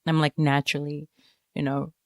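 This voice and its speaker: A woman giving a reflective personal interview, speaking haltingly and often dropping in "like" and "you know.". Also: deep voice, flat delivery, dry recording, clean studio sound. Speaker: interviewed writer.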